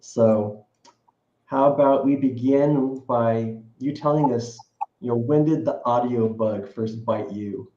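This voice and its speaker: Male stream host, mellow tone, dull roomy recording. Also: mid-range voice, slow. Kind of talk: live-stream interview host. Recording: dull echoey room sound.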